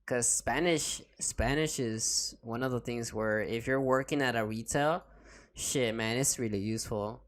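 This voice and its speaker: A man hosting a podcast in casual chat, excitable and loose-tongued, swearing often and restarting his words. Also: mid-range voice, a little slow. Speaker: podcast host.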